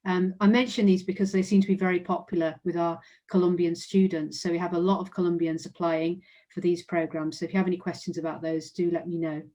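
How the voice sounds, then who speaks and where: A woman with an English accent speaks in a slightly low register as she walks through an online presentation on her university. She is friendly and informative and fills her pauses with "um". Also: level tone, warm tone. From England. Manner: friendly, informative, frequent "um" fillers. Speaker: university representative.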